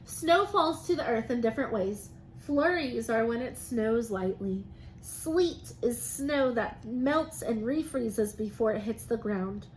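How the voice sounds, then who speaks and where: A woman's voice: fairly high pitch, animated, takes it slowly, poor audio. A woman teacher reading aloud and explaining science to young children in simple, encouraging phrases. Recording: noticeable background noise.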